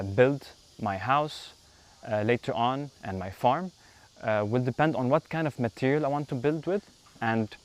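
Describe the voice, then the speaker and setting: A man in his thirties from Lebanon, a yoga teacher, answering interview questions outdoors on a lapel mic in an unhurried, thoughtful way with frequent fillers. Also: medium pitch. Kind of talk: casual interview. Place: outdoors in a bamboo grove.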